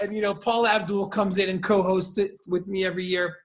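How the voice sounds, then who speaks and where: A middle-aged American man, a salon owner, talking in an animated, emphatic way as an interview guest over a video call. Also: high-pitched, fast talker. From United States. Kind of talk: casual interview chat.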